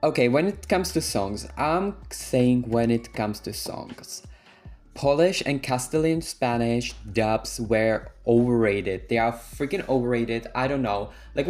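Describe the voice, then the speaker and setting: A man's voice: mid-range voice, expressive, unhurried, a little mellow, soft background music. Sassy young man with a Czech accent. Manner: sassy, slangy, dismissive. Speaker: vlogger. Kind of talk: casual opinion rant.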